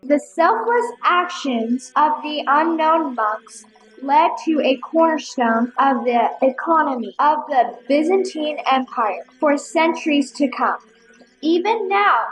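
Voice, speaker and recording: Slow, high-voiced woman narrator. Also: rough recording. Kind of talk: scripted audio play narration.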